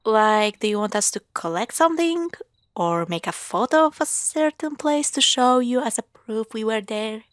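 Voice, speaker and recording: A woman playing a playful, sarcastic young girlfriend in a spooky roleplay audio drama, teasing and expressive. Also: a little high, normal speed, no room sound, studio quality, lightly acted. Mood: casual, slightly impatient. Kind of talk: spooky roleplay audio drama, acted one-sided dialogue.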